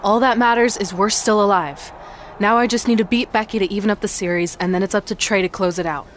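A woman's voice: mid-range voice, fairly even intonation, fast, slight background noise, natural voice. An actress playing a determined woman wrestling champion in a video game, earnest and assertive. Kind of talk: scripted video game cutscene acting.

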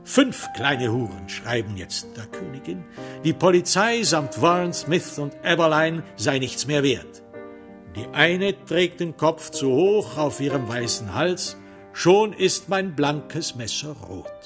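A man playing a taunting serial killer who recites a macabre counting rhyme, deliberately and with drawn-out stresses, in a crime radio play. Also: fairly high pitch, sing-song, over music, full character voice.

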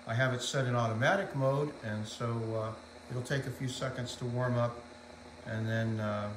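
A middle-aged man giving an informal, matter-of-fact demonstration, speaking haltingly as he explains equipment. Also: medium pitch, noisy background. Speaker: collector demonstrating vintage equipment. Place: indoors in a room at home.

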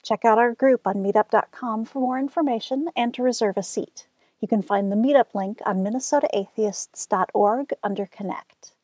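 A woman's voice: on the high side, normal speed, darkish tone, slightly rough, dry recording. Woman hosting a radio show. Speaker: radio host. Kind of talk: scripted radio announcing.

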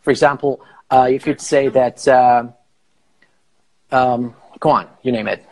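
A man in his thirties, a native Farsi speaker and English teacher, explaining slowly on a live video call through an earphone mic in a small room. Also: mid-range voice, steady tone, rough recording. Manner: explains slowly, rephrasing with many fillers. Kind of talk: casual live video chat. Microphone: earphone headset mic on a video call.

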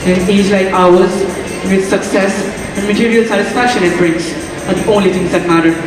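A young man plays an earnest young lover, explaining in a steady voice. He is heard from far away in a big echoing auditorium. Music plays behind him. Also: high-pitched, fairly quick, a crowd in the background, natural voice. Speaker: student actor in a stage play. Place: large auditorium stage. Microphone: distant stage mic.

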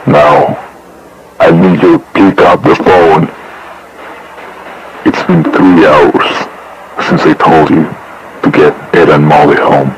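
A man's voice: very deep voice, little pitch movement, takes it slowly, long pauses, a little hiss or hum, exaggerated voice, low-quality recording. A man playing a frantic, angry parent leaving an urgent, demanding voicemail in a horror drama. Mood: stern, worried. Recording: loud music over voicemail.

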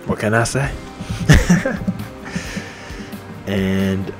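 Young man in his twenties, low voice, upbeat rambling gamer talk, faint game music under it. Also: very animated, extremely slow. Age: 20s. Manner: repetitive, upbeat, enthusiastic shout-outs. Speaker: gaming channel host. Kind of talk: rambling casual monologue over game menu. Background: faint game menu music.

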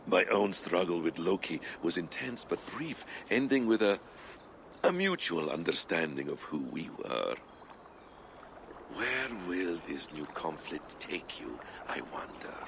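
A self-possessed schemer in a game, low voice, really expressive, musing and theatrical. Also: male voice, heavily acted. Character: scheming, self-possessed man musing over another's memories. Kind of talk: video game cutscene monologue. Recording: loud ambient noise behind.

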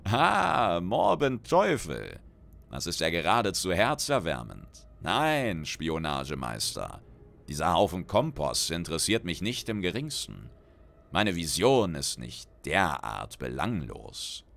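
A man's voice: sits low, huge pitch swings, slow, vocal fry, fairly bright tone, heavily acted. Man voicing a sinister undead necromancer woman, hollow. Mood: scornful amusement. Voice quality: hollow-sounding. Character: sinister undead female necromancer, menacing and grandiose.

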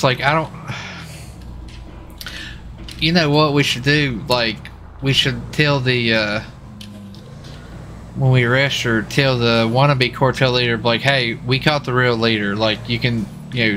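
A man's voice: medium pitch, measured pace, long pauses, bright, forceful tone. A man livestreaming a game, chatting casually in a rambling, repetitive way. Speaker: livestreamer and role-play gamer. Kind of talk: casual chat while streaming a game. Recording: faint background noise.

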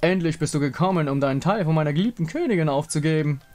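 Mocking fantasy game villain, acted by a man: lovesick king, theatrical, condescending, fairly high. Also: fast, a little mellow, soft background music, close to an everyday voice. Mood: gloating.

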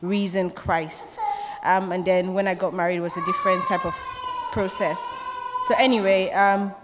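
A woman's voice: lowish voice, fairly even intonation, moderate pace, heavy background noise. A young Nigerian woman, a native Yoruba speaker, chatting and laughing as she is interviewed on stage with a handheld mic in a church. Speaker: church member. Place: large church hall. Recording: reverberant church hall.

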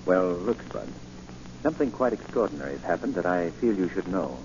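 An actor playing a suave, composed gentleman sleuth, courteous and assured, in 1940s radio style. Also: deep voice, sing-song, brisk. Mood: intrigued, reassuring. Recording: muffled, hissy 1940 radio recording.

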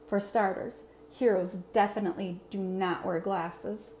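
A woman's voice: mid-range voice, steady tone, measured pace, a little mellow, lightly acted. A woman voicing a boastful, earnest monster superhero in a storybook, with formal, over-enunciated phrasing. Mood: self-important. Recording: clear background room noise.

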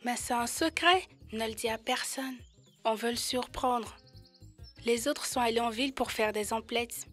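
A woman's voice: a little high, a little slow, over music, lightly acted. A woman voicing a small, friendly, earnest young elephant in an educational cartoon. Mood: confiding.

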